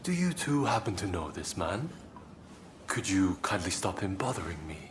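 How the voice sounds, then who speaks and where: Deep-voiced, courteous stranger. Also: male voice, big pitch swings, moderate pace, background noise. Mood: polite. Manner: polite, restrained. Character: courteous, composed male stranger. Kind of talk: video game cutscene acting.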